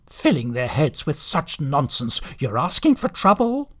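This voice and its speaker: Bossy storybook wife of Santa performed by a man: scolding, threatening, high and swooping. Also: big pitch swings, a little slow, exaggerated voice. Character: stern, bossy wife of Father Christmas.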